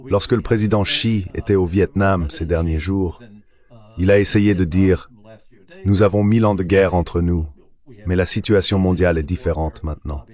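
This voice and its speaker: A man giving a measured, formal voice-over translation of an economist's analysis in an interview. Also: low voice, fairly even intonation, darkish tone.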